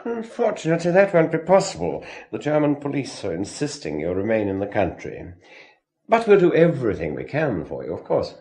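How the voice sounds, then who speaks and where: Swooping, breezy English consular official. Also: male voice, mid-range voice, wildly swooping pitch, brisk, a little mellow. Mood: breezy, smooth. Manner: smooth, jaunty, patronizing. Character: breezy, slippery English consular man, old-chap affability. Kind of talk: radio drama acting.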